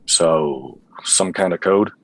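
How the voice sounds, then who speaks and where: An actor playing a bitter, boozy, clueless android-hunting detective in a noir radio play, with a hard-boiled, melodramatic deadpan. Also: male voice, low-pitched, slow pace. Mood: clueless, earnest.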